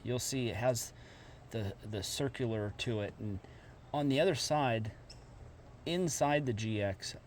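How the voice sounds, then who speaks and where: A man's voice: fairly low pitch, a little mellow, a little hiss or hum. Plainspoken man showing his vehicle work. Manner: plainspoken, folksy, rambling with fillers and restarts. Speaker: car modification vlogger. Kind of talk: casual how-to walkthrough.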